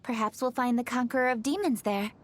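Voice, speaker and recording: A woman's voice: on the high side, fast, slight background noise, lightly acted. A woman voicing a composed, dutiful young noblewoman in a video game, poised and earnest. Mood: thoughtful, composed.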